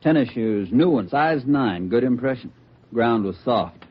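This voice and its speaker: An actor playing a brisk, wry male crime lab technician with a husky voice in an old radio police drama. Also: mid-range voice, animated. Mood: businesslike. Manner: wry, businesslike. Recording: muffled old radio recording.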